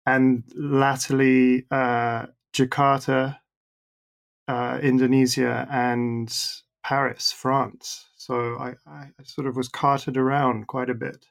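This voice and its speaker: A man's voice: mid-range voice, level tone, takes it slowly, vocal fry. A young man in casual conversation, chatty and self-deprecating, with hesitant restarts as he recalls growing up abroad. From born in Singapore, raised in the Netherlands and abroad. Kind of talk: casual conversation between friends.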